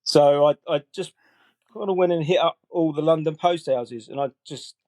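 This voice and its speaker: A man in his forties, a guest on a podcast, talking in a relaxed, rambling way full of fillers and restarts. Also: fairly high pitch, moderate pace, dry recording. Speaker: guest colorist. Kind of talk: casual interview chat.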